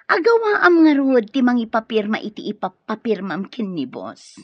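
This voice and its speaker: A woman's voice: fairly high pitch, huge pitch swings, moderate pace, husky, dry recording, exaggerated voice. A woman with an Ilocano Filipino accent acting a sly, greedy accomplice in a radio drama. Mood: sly, gleeful. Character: conniving, greedy female accomplice to a schemer. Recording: dull, dry lo-fi radio.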